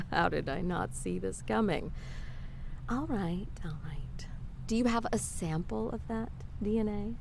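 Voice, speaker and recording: A woman's voice: medium pitch, unhurried, creaky, a little mellow, a little hiss or hum. A woman playing a wry, skeptical scientist in an audio drama, talking in a dry, sardonic, conversational way. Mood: wry, suspicious. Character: wry, skeptical female scientist, the curator's old friend. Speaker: voice actor.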